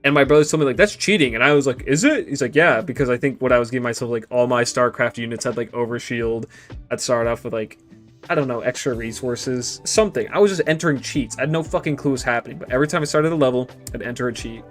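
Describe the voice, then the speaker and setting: A man's voice: medium pitch, fast talker, a bit bright, soft background music. Young man rambling crudely while gaming. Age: 20s. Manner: rambling, crude and joking, trailing off into mutters. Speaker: gaming streamer. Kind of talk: casual stream chat while gaming.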